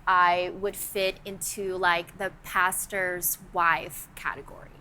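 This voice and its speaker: A woman's voice: lowish voice, little pitch movement, takes it slowly, sharp tone, slight background noise. A woman from the Netherlands, a podcast guest, talking in a friendly, thoughtful and slightly hesitant way. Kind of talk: casual chat.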